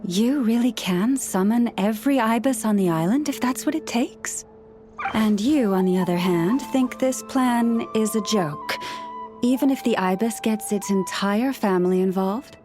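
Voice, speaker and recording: An actress playing a gentle, patient young woman who speaks with animals in a video game, polite and measured. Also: fairly high pitch, music in the background, lightly acted. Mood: patient, reasoning.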